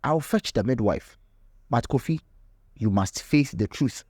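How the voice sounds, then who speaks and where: A man voicing a stern, concerned woman elder of a village in a folktale, speaking gravely and admonishing. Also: medium pitch, lively intonation, measured pace, a little mellow, no room sound, studio quality. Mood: softened, firm.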